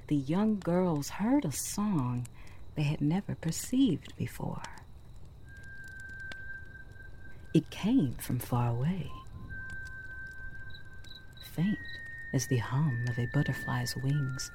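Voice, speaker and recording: A mysterious, gentle forest storyteller played by a woman speaks in a very deep female voice, hushed and breathy. She takes her time, secretive and inviting, pausing deliberately as in an audio drama fairytale. Music plays softly behind her dark, mellow tone. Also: slight background noise. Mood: wondrous, storytelling. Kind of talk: slow scripted fairytale narration.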